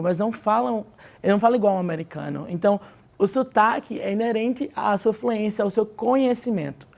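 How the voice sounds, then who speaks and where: Brief: brazilian woman teacher, gravelly and mellow, explains with lots of "né?". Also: medium pitch. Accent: Brazilian Portuguese. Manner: explanatory, lots of "né?" tag questions. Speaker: English teacher, studio guest. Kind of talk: TV studio interview, advice.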